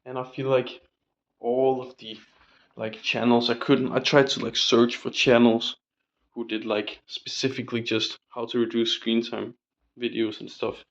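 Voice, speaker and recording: A man's voice: mid-range voice, little pitch movement, a little slow, highs cut off. A young man rambling casually in a diary vlog, full of fillers and casual swearing, his voice still recovering from a sore throat. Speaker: vlogger.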